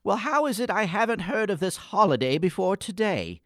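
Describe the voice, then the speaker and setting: A man's voice: high-pitched, very animated, moderate pace, a bit bright, dry recording, full character voice. Guy as a morose doubting kid, swoops up and down, formal wording, dry sound, radio comedy. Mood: exasperated, incredulous. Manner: formal, wordy, incredulous. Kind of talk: comic gothic radio play acting.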